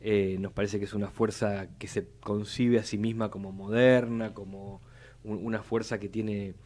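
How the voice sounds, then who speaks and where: A man's voice: lowish voice, level tone, moderate pace, a little mellow. Young Buenos Aires man, formal policy talk. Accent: Buenos Aires Spanish. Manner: formal, repeats words while building long arguments. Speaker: city legislator, guest. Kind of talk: measured policy talk on live radio. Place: radio studio. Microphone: studio mic on boom arm.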